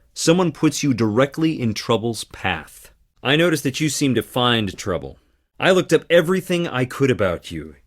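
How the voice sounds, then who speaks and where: A cheeky young video game character who loves an audience. His mid-pitched voice swoops up and down, teasing and dramatic in its delivery. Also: fairly bright tone.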